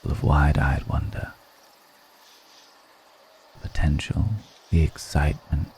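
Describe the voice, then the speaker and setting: A man playing an ancient, world-weary, seductive vampire in an intimate ASMR roleplay, speaking in a deliberate, brooding way with long pauses. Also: rumbling low, level tone, darkish tone, slight background noise, exaggerated voice. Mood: reflective, wistful.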